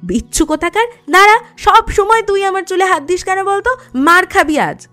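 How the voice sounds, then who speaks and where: A feisty teenage girl acted by a woman from a romantic audio story. Her voice is high and her manner playful and bantering, with soft music behind it. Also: animated, normal speed, heavily acted. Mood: mock angry. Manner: bantering, spirited. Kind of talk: Bengali audio story acting.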